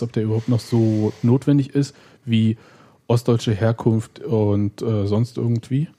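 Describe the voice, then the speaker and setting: A man's voice: medium pitch, dark tone. A man hosting a podcast, chatting casually in conversational German.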